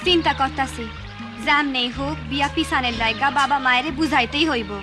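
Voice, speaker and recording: A woman's voice: high-pitched, fairly quick, over music, close to an everyday voice. A woman voicing a worried, studious teenage village girl in a cartoon, earnest and plaintive. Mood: pensive, determined. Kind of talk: cartoon voice acting.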